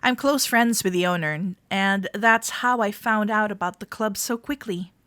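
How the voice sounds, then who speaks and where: A woman playing a friendly, teasing club member giving a tour in a roleplay audio, speaking to the listener in a gentle, reassuring way. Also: medium pitch, normal speed, no room sound, lightly acted.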